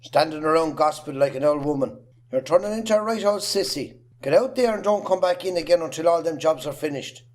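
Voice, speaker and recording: Bullying Irish farm patriarch, played by a man, gruff and belittling, higher-pitched voice, amateur drama. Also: expressive, brisk, a little mellow, close to an everyday voice. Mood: sneering. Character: bullying, scornful, miserly Irish farmer father. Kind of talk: amateur stage drama acting.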